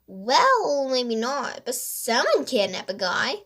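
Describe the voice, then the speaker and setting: A woman's voice: a little high, sing-song, slow pace, a bit bright, full character voice. A woman voicing a joking, skeptical young boy in a children's storybook, with a playful manner.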